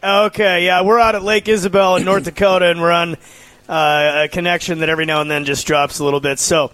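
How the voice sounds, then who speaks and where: A man, a priest, co-hosting a live radio talk show in a relaxed, laughing manner, broadcasting from outdoors at a lake. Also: high-pitched, fairly quick, no room sound. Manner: laughing, relaxed, coughs now and then. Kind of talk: casual live radio chat.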